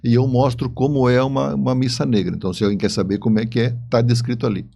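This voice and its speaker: Brazilian man, mellow voice, explaining casually. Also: mid-range voice, fairly even intonation, fast talker, gravelly. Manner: explanatory, with repeated words. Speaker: guest author. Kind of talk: casual podcast conversation.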